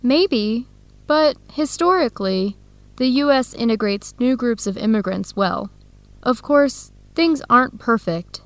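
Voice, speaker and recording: A woman playing a warm, curious American researcher in an educational radio drama, speaking in a conversational, inquisitive way. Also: on the high side, animated, a little slow, dry recording. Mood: thoughtful.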